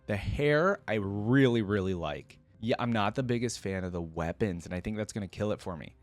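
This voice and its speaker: A man's voice: fairly low pitch, animated, normal speed, soft background music. A man giving casual, unscripted reaction commentary as a video host, enthusiastic and rambling with informal, slangy wording.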